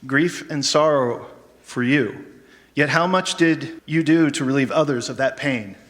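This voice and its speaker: An actor playing a solemn, gentle, mysterious doctor in a radio drama, with measured, formal phrasing. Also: male voice, mid-range voice, close to an everyday voice. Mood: solemn, consoling. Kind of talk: stage radio drama acting. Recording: noisy live hall, long reverb.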